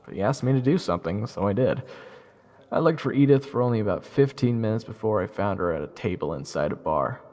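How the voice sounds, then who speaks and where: A man's voice: fairly low pitch, animated, fairly quick, darkish tone, long reverb tail. A young man narrating his own story in first person, wry and self-deprecating. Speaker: narrator and lead.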